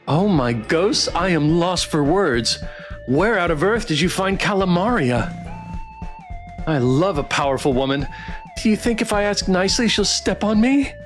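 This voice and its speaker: A man livestreaming a visual novel, reacting and reading aloud in a playful, expressive way. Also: on the high side. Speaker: streamer playing a visual novel.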